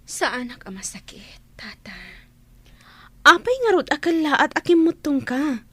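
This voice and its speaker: Give me a woman as a husky, despondent young woman. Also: sits high, no echo, exaggerated voice. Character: despondent young woman stubbornly refusing her mother's care. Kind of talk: radio drama acting.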